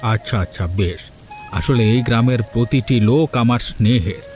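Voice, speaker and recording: A man voicing a kindly, modest village headman in a cartoon fairy tale, benevolent and unhurried. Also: medium pitch, a little mellow, faint music behind, close to an everyday voice.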